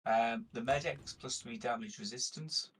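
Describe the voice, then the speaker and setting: A man's voice: mid-range voice, moderate pace, breathy edge. A man playing a tabletop roleplaying game, talking casually and negotiating with the others.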